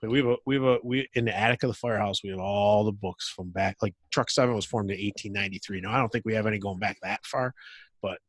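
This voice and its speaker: A man's voice: fairly low pitch, quick pace, no room sound. A middle-aged man, a fire captain, chatting casually in an informal, slangy and joking way. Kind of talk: casual chat.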